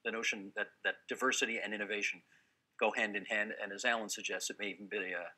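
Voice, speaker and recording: A man hosting a panel discussion, asking brisk, quick follow-up questions. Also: lowish voice, fairly even intonation, sharp tone. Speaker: host. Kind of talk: panel interview.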